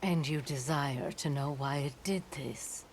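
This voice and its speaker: An old, serene female sage in a fantasy game. She speaks very slowly in a low voice, solemn and all-knowing, over faint ambience. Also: darkish tone, slight background noise. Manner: measured, solemn. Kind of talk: video game cutscene acting.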